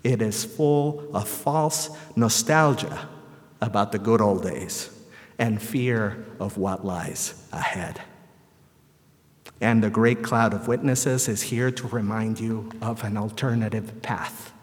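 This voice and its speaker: A man from El Salvador preaching a sermon in a measured, rhetorical way with deliberate phrasing and repetition. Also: fairly low pitch. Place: large reverberant church or hall. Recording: echoey hall with long reverb.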